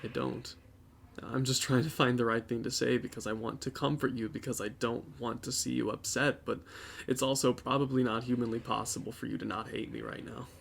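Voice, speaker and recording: A man's voice: mid-range voice, fairly even intonation, a little fast, gravelly, fairly bright tone, a little hiss or hum. A young man playing a scared, guilty boyfriend who awkwardly offers comfort in an audio drama. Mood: guilty, unsure. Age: young adult.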